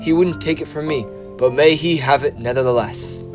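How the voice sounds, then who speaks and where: A young man playing a cheerful nephew in a school stage play, giving a warmhearted toast, with guitar music behind. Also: on the high side, normal speed, lightly acted. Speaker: stage actor.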